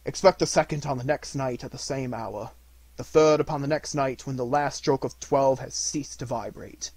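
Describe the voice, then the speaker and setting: A man voicing the anguished ghost of a dead miserly businessman in a storybook, lamenting solemnly and slowly, from hushed to loud. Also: mid-range voice, fairly even intonation, dry recording, close to an everyday voice. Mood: solemn warning.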